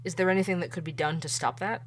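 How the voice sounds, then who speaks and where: A woman's voice: on the low side, fast, slight background noise, lightly acted. A woman voicing a dogged, opinionated male podcast investigator who asks blunt, earnest questions. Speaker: podcast host. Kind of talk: audio drama acting.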